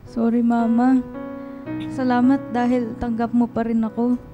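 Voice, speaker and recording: Woman as high-voiced vulnerable teenage daughter over music. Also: little pitch movement, unhurried, lo-fi sound, close to an everyday voice. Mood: ashamed, subdued. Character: distressed pregnant teenage daughter, ashamed and vulnerable. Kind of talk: radio drama acting. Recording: music bed behind the voice.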